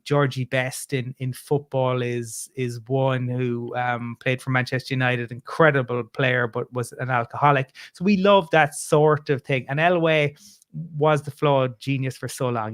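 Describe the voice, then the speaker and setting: Irish guest, forties, mid-pitched, rambling with lots of ums, dry recording. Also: male voice, clear, resonant tone, no room sound. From Ireland. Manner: rambling, with many fillers and restarts. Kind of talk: casual podcast chat.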